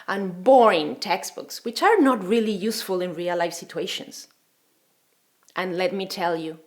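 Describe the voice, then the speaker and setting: A woman whose first language is Spanish, speaking English with a Spanish accent as a language teacher in a measured, earnest, encouraging scripted talk. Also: mid-range voice.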